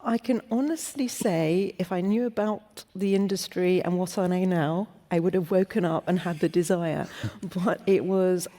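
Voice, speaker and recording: A woman answering questions on a conference panel, measured, with many hesitant fillers. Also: on the low side, warm tone. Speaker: panelist, data security director. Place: conference stage before an audience. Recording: long hall reverb.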